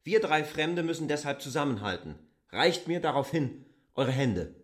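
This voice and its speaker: A bossy stern stranger in a radio drama, brusque orders, really lively pitch swings. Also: male voice, moderate pace, husky, no echo, studio quality. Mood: firm, insistent. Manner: brusque, commanding, exacting. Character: K., stern imperious male stranger commanding his helpers. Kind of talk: literary radio drama acting.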